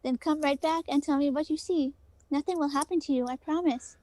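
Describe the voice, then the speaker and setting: A woman's voice: high voice, a little fast, dark tone, no room sound, lightly acted. A woman playing an insistent, pleading girlfriend in a story read aloud, urgent and coaxing.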